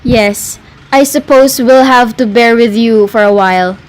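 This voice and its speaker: High-voiced fretful mother, acted by a woman. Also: a little slow, a little mellow, lightly acted, poor audio. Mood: weary. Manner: insistent questioning. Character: fretful, demanding mother of a young daughter. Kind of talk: amateur radio play acting.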